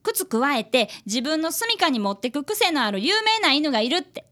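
A woman's voice: sits high, sing-song, normal speed, sharp tone, full character voice. A woman playing an earnest, polite grade-school boy apprentice detective with a Kansai accent in a radio drama, both narrating and in dialogue. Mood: earnest reporting. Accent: Kansai Japanese.

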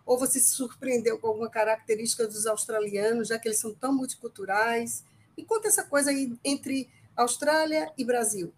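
A woman's voice: on the high side, a little fast. A woman psychologist interviewing a guest in a friendly, casual way, reading out facts and asking questions.

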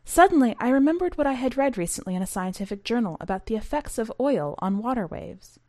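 A woman's voice: on the high side, expressive, quick pace, darkish tone, dry recording, clean studio sound, pitched above the performer's own voice. A woman reading as an earnest balding father who recounts a perilous sea voyage in dramatic storytelling.

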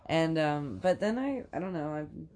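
A woman co-hosting a podcast, chatting casually in a rambling, filler-heavy way, her voice congested and raspy from a cold. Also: sits low, expressive, normal speed, a little mellow. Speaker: podcast co-host. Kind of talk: casual chat.

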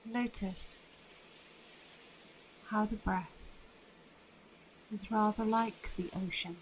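Female meditation guide, soothing and very slow, little pitch movement, faint ambient noise behind. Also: fairly even intonation, rough recording. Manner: soothing, unhurried with long pauses.

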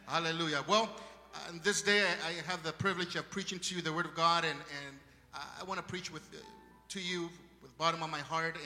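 A man with a strong Spanish accent preaches to his congregation with fervent, ringing calls of praise. His voice sits high and sounds strikingly bright. The recording carries the long echo of a church hall, heard from a distance. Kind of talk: sermon.

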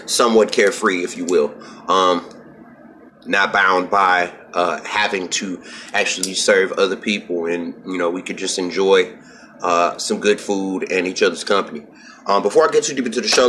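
A man's voice: fairly low pitch, fairly bright tone, slight background noise. A man hosting a podcast, talking casually and informally with slang and the odd swear word. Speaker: podcast host.

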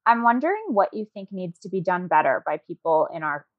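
A woman's voice: fairly low pitch, big pitch swings, a little fast, no echo. A woman podcast interviewer asking questions conversationally and tentatively, rephrasing as she goes, on slightly lo-fi audio.

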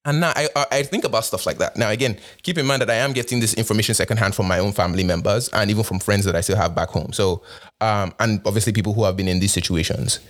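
Man with a mixed Nigerian–North American accent, low voice. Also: fast talker. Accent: Nigerian with North American influence. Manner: conversational, with frequent fillers and repeated restarts. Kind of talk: casual monologue.

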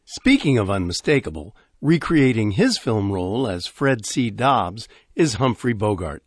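An older man hosting a radio program, smoothly introducing a vintage broadcast. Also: on the low side, very animated, a little slow, dry recording, studio quality. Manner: smooth, informative announcing. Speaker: radio host.

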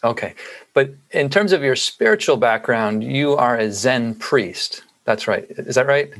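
A man's voice: mid-range voice, lively intonation, a little slow, fairly bright tone. Man, podcast host, chatty, searching for words. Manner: rambling, many restarts and fillers. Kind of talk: conversational interview.

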